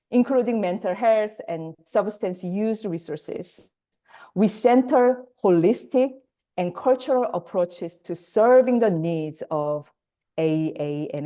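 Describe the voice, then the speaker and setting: A woman whose first language is Korean, hosting and introducing speakers on a video call webinar, measured with pauses. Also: lowish voice, mellow. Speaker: workshop host and facilitator.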